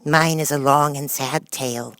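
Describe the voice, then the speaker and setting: A man voicing a touchy, gloomy storybook Mouse with a stern, measured, declamatory delivery. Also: fairly high pitch, steady tone, bright, forceful tone, dry recording, clean studio sound, voice pushed up. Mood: mournful.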